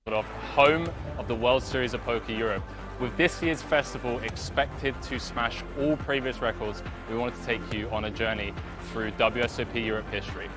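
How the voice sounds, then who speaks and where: A man in a medium voice gives a scripted, upbeat tour of a casino poker venue. A loud music bed runs under him throughout. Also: medium pitch, moderate pace. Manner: polished, upbeat presenter delivery. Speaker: presenter. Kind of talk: scripted promotional tour presentation.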